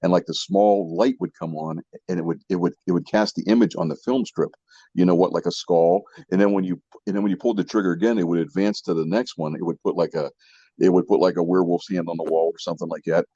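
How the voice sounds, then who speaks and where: A man's voice: deep voice, fairly even intonation, fast talker, warm tone, slightly rough, dry recording. A man co-hosting a podcast, explaining things in casual chat, repeating himself and checking in with his listeners. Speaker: podcast co-host. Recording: muffled lo-fi audio, dry.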